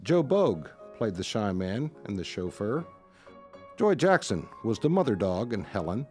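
A man's voice: on the low side, very animated, normal speed, darkish tone, faint music behind. A man announcing the close and cast of a radio drama in a scripted style.

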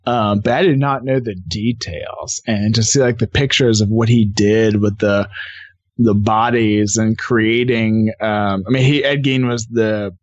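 A man's voice: on the low side, steady tone, unhurried, no echo, lo-fi sound. A man chatting casually and informally as a guest in a podcast interview. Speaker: podcast co-host appearing as guest.

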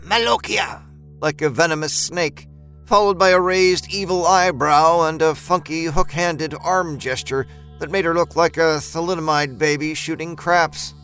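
A man narrating a scripted horror story as an expressive, dramatic storyteller. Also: a little high, normal speed, soft background music.

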